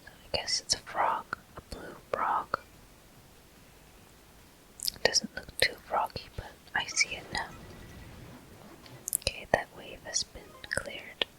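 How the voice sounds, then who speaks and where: A person whispering softly and breathily into a close microphone, narrating gameplay step by step in an ASMR style. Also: rough recording. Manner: soft, unhurried, gently narrating each step. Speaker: gaming video host. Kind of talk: ASMR whispered game commentary.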